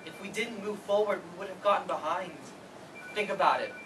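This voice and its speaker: A man's voice: high voice, fairly quick, natural voice. A man playing a grieving, regretful adult son in a staged reading of a play. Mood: shocked, defensive. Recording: distant mic, noisy room.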